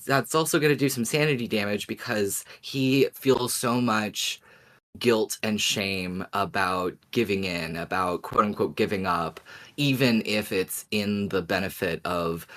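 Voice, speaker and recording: A podcast co-host with a low-pitched voice chats informally about a favourite audio drama. The delivery is thoughtful, often rephrasing an idea before settling on it. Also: a bit bright. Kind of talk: casual fan discussion of an audio drama.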